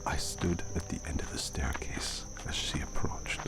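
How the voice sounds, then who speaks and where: A man playing a courteous, brooding vampire count in an ASMR audio drama, speaking formally in a gravelly near-whisper. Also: rumbling low. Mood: storytelling, wistful. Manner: formal, slow, measured speech. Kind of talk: ASMR roleplay acting. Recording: noisy, night crickets and outdoor ambience.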